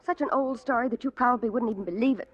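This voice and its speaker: A woman's voice: fairly high pitch, very fast, natural voice. An American actress playing a guarded, wistful young woman, wry and hesitant, in 1940s radio drama. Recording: muffled old radio broadcast.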